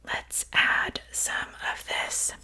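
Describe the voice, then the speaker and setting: A woman whispering gently and without hurry in an ASMR roleplay, playing a polite but wicked witch in a breathy voice. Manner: gentle, unhurried, softly chatty. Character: wicked but polite witch seasoning her victim for stew. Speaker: ASMR performer.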